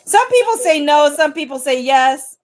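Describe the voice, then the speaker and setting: A woman's voice: sits high, animated, moderate pace. A woman co-hosting a live stream, reading a witness's answers in a hesitant way.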